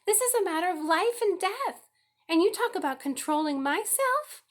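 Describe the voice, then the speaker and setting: A woman's voice: extremely high pitch, animated, moderate pace, pitched above the performer's own voice. A woman voicing a passionate, indignant eight-year-old farm girl in a read-aloud storybook, pleading emphatically. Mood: indignant, shrill.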